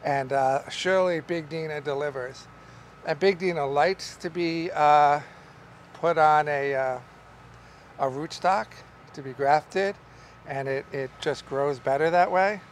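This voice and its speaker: A middle-aged man, a market farmer, explaining how he grows tomatoes in a relaxed, rambling way with many fillers, recorded in a large greenhouse by a camera some distance away. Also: a little high, measured pace, a little hiss or hum. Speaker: market farmer teaching growing. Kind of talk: casual on-camera farming lesson. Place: large greenhouse among tall tomato plants. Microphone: camera at a distance, wide shot.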